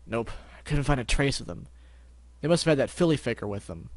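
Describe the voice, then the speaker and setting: A man's voice: medium pitch, animated, brisk, no room sound, lightly acted. A man voicing a brash rainbow pegasus stallion who talks in a blunt, casual way.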